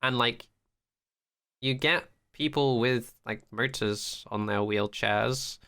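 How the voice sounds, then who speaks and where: Bright-voiced man in casual podcast chat. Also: mid-range voice, slow pace, clean tone. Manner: animated, opinionated, profane. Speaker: podcast co-host. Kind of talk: casual pop-culture discussion.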